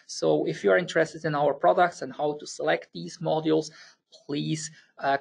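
A man's voice: a little high, vocal fry, a little mellow. A man with a Swiss German accent presenting a technical engineering webinar, explaining step by step with occasional fillers. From Switzerland. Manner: explanatory, slightly halting with fillers and restarts.

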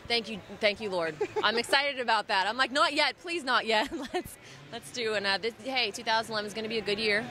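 Young female interviewee, playful and jokey, bright edge, handheld mic in busy hall. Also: a little high. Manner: playful, joking, quick to laugh. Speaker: bikini competitor guest. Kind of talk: casual expo interview. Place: busy expo hall, indoors. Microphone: handheld mic held by interviewer.

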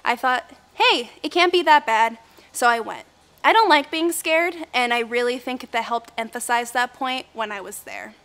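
A young woman, a kids' church teacher, telling a personal story to children in a chatty, animated way, filmed indoors on camera. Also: on the high side, normal speed. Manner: chatty and animated, talks with her hands. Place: indoor room with a window, filmed set.

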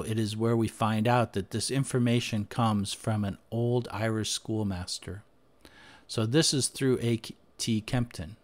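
Low-voiced man talking through slides. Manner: unscripted, thinking aloud with frequent "uh" and restarts. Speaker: history podcaster. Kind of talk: screen-share presentation, talking over slides.

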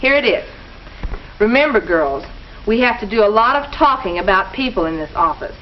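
A young woman playing a firm, businesslike teenage club leader in a 1950s school film, speaking briskly. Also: medium pitch, lively intonation, close to an everyday voice. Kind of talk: 1950s educational film acting. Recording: muffled old film soundtrack with hiss.

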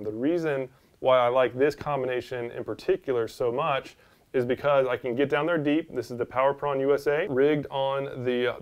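A man in his forties talking casually and enthusiastically to the camera as a fishing instructor, recorded indoors on a lapel mic. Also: medium pitch. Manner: enthusiastic, informal. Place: small indoor studio room. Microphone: clip-on lapel mic.

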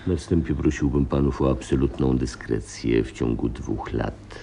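A man acting an imperious, secretive king in a radio play, speaking formally and gravely with a gravelly rasp. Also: extremely low pitch, normal speed, warm tone, a little hiss or hum. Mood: grave, haughty. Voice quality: gravelly, raspy. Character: imperious, secretive male king posing as nobleman.

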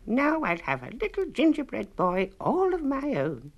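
A man's voice: extremely high pitch, huge pitch swings, measured pace, dull audio, pitched above the performer's own voice, exaggerated voice. A man voicing a doting little old lady in a children's storybook. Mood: fond, eager.

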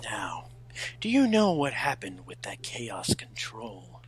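A man voicing a brooding, peevish hedgehog rival in a fan-fiction reading, husky and breathy, with curt, condescending delivery. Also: fairly low pitch, huge pitch swings, slow, full character voice, low-quality recording. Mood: cool, curt.

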